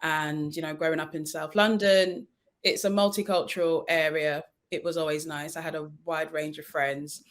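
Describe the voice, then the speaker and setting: A woman's voice: low-pitched, fairly even intonation, moderate pace. A young adult woman, born in Nigeria and raised in London, speaking as a guest in a panel discussion, telling a personal story in an earnest, reflective way. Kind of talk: casual discussion.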